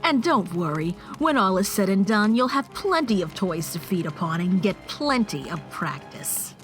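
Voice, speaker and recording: A woman's voice: mid-range voice, big pitch swings, moderate pace, lightly acted. A haughty changeling queen acted by a woman in an audio drama. She schemes and boasts, talking down to others with condescending assurance, over faint background music. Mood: boastful, scheming. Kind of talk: fan audio drama acting.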